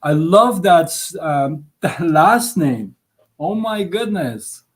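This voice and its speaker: A man's voice: on the high side, animated, slow pace. A man hosting a live interview show, enthusiastic and stumbling a little over his words. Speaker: host.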